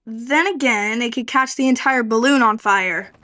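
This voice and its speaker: A woman voicing a young orphan girl in a radio play, practical and lively. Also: on the high side, normal speed, no room sound, close to an everyday voice.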